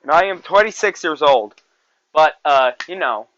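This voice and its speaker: Rambling young man, high bright voice. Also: expressive, moderate pace, dry recording, muffled recording. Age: 20s. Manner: rambling, repeats himself, many fillers. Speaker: podcast host. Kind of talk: solo movie review podcast.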